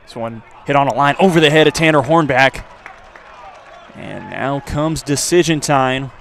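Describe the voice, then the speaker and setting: A man giving live play-by-play baseball commentary for radio, his voice lifting on the action and settling between pitches. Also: normal speed, fairly bright tone, some chatter behind. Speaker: play-by-play announcer. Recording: clear outdoor ambient noise. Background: outdoor ballpark ambience.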